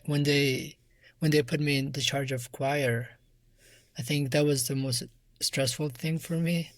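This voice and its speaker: A man with an Afghan accent speaks softly at a medium pitch. He answers as an interview guest in a reflective style. The sound is dry, with hardly any room in it. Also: no echo. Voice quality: soft voice. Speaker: interview guest, musician and music teacher.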